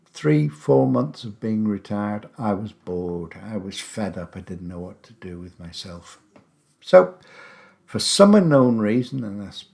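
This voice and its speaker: Older guy in his 70s rambling on his podcast, unhurried, kinda low voice, dry little jokes. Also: gravelly, darkish tone. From England. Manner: chatty, rambling, wry asides. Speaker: podcast host, English teacher. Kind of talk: casual personal monologue.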